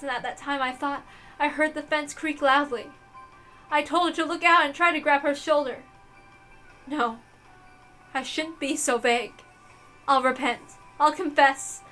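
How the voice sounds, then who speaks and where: A woman voicing a proud, guilt-ridden mother confessing murder in a dramatic, emotional visual novel read-aloud. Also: high voice. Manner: theatrical, emotional, swinging from hushed to impassioned.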